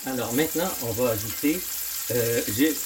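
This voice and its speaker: A middle-aged man, hosting a casual cooking demonstration at home and thinking aloud with frequent pauses. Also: medium pitch, background noise. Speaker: home cooking channel host. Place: home kitchen, indoors.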